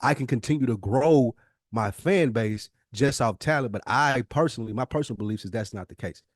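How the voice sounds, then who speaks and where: A man's voice: medium pitch, brisk, dry recording, clean studio sound. An American man co-hosting a podcast, talking casually in long, emphatic, opinionated explanations. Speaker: podcast co-host. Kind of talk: casual conversational discussion.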